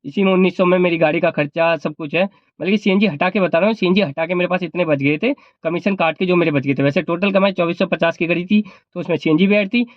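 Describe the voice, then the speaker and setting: High-pitched, fast-talking man with a sore throat. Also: dry recording, dull audio. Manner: explanatory, repeats points. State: sore throat, not speaking at full volume. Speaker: vlogger and cab driver. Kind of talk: informal advice vlog talk.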